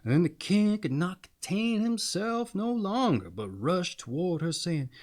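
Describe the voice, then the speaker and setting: A man narrating a fairy tale as a storyteller, with dramatic, deliberate phrasing. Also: high-pitched, big pitch swings, a little mellow.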